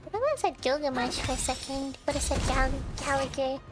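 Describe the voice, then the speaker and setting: A woman's voice: sits high, brisk. A sleepy woman livestreamer reacting to a game and chatting casually with viewers in a playful, teasing manner. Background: game music.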